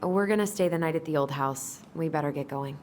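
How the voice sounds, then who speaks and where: An actress playing a gentle young woman in a video game, a sister who speaks earnestly and tries to keep the peace. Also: sits low, a little fast, slight background noise, natural voice. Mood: conciliatory. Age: young adult. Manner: conciliatory, earnest. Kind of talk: naturalistic video game acting.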